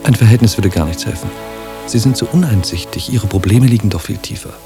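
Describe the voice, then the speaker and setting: An actor playing a weary psychoanalyst in a comic radio drama, husky and reproving. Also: male voice, lowish voice, fairly quick, over music, natural voice. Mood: exasperated, patient. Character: weary, exasperated male psychoanalyst reproving his patient.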